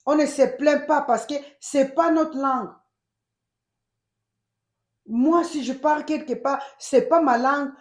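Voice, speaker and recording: A woman with a Congolese accent giving emphatic, animated casual commentary as a channel host, switching between French and Lingala. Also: high voice, slow, pauses often, echoey room. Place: United States.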